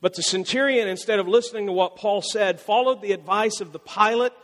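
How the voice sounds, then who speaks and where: Male pastor, high voice, conversational sermon delivery with repetition, big reverberant sanctuary. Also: a little fast, a bit bright. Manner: conversational preaching with repeated phrases and emphatic rises.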